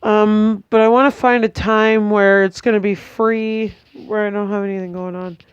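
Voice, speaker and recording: A tired, congested young woman, giving a hesitant, chatty vlog update at home with many pauses and restarts. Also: medium pitch, little pitch movement, clear, resonant tone, dry recording, lo-fi sound. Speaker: vlogger. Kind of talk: casual rambling update to camera.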